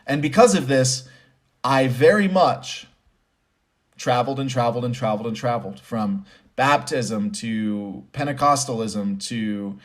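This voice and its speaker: A man's voice: medium pitch, measured pace. Guy with a mid-range voice doing motivational talk, chatty and emphatic, repeats stuff to drive it home. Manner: conversational, emphatic, repeats phrases for stress. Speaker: host and commentator. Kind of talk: motivational spoken commentary with personal storytelling.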